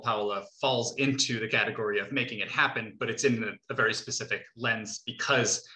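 A man in his thirties with a bright, forward voice moderates an online Q&A. He talks conversationally, working out his questions aloud, and the video call sound is noticeably roomy. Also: mid-range voice. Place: living room at home, indoors. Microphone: video call, computer mic at desk distance.

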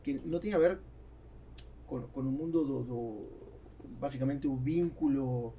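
A man in his forties with a Spanish-speaking Latin American accent, chatting casually and haltingly as a podcast guest. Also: medium pitch, dull audio, a little hiss or hum. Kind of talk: casual chat. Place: small foam-treated podcast studio. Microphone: close studio mic on boom arm.

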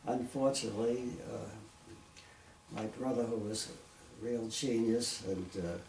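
A man in his nineties from Brooklyn with a Brooklyn accent, recalling his family in an oral history interview, halting and clearing his throat. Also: fairly low pitch, fairly even intonation, darkish tone. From Brooklyn, New York. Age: 90s. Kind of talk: reminiscing interview. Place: living room, seated in armchair. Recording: roomy echo, distant mic, faint hiss.

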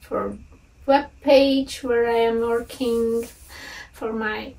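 A woman's voice: fairly high pitch, steady tone, slow, creaky, darkish tone, far from the mic, low-quality recording. A Latvian woman in her thirties with a Latvian accent, chatting cheerfully and hesitantly in a home vlog; English is not her first language. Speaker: vlogger. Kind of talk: casual vlog chat. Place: bright room at home.

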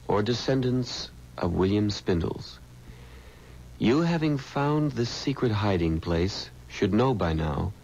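An actor playing a man slowly reading out a letter in a 1970s radio mystery, with a husky, gravelly voice. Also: on the low side, darkish tone, heavily acted. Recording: dull old radio broadcast.

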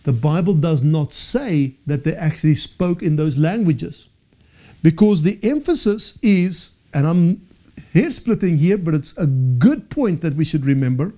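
Afrikaans-native male teacher, 40s, high mellow voice, earnest and self-correcting, studio lapel mic. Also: unhurried. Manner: earnest, animated hand gestures, frequent self-corrections. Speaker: Bible teacher. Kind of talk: teaching session to camera. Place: studio with dark backdrop.

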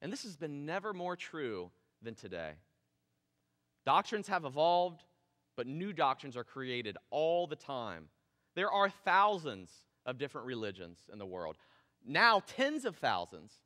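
A man in his thirties preaching a casual, animated sermon on a church stage. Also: high voice. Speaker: pastor. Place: church stage with drum kit. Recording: long hall reverb in church.

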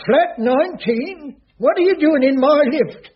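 High-pitched fussy caretaker. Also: male voice, lively intonation, unhurried. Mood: indignant. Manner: indignant, pedantic. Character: fussy jobsworth old caretaker, officious and rule-loving. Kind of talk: vintage radio sitcom acting. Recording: muffled vintage radio recording, noisy studio audience.

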